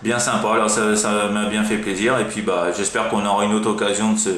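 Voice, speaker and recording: A man's voice: lowish voice, level tone, fairly quick, fairly bright tone. A man from France chatting casually as a CB radio operator, in a hesitant, rambling way full of verbal tags. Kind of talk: casual amateur radio chat. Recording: roomy echo, faint background noise.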